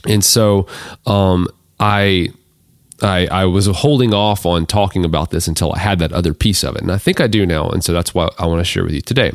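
A man with a deep baritone, hoarse from allergies, hosting a casual solo podcast, rambling with many restarts and fillers. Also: a little fast, no echo, clean studio sound. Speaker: podcast host.

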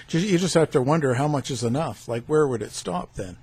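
Mellow, husky male host, hesitant questions. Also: fairly high pitch, animated, brisk, no echo. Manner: hesitant, rephrases questions with fillers. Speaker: interview host. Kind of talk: interview questions.

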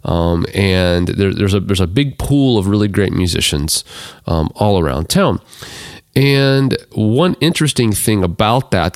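A man with a deep baritone, hoarse from allergies, hosting a casual solo podcast, rambling with many restarts and fillers. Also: dry recording, clean studio sound. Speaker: podcast host.

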